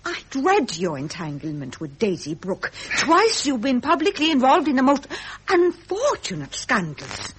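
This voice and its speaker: High-voiced, reproachful princess. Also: female voice, huge pitch swings, a little slow, hardly any pauses, exaggerated voice. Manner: formal, plaintive, reproving. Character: dignified, hard-of-hearing princess, wounded, quietly reproachful wife. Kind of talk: 1970s British radio drama acting. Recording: noisy vintage radio recording.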